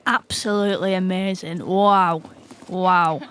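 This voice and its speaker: A woman's voice: lowish voice, steady tone, takes it slowly, a bit bright, clean tone. A woman playing an elderly, tooth-obsessed, self-righteous dentist in an improvised comedy role-play, with a theatrical, emphatic delivery. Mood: creepily enraptured.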